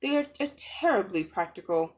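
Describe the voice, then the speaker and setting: A woman reading a children's story aloud in an expressive, scripted narrator's style. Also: mid-range voice, moderate pace.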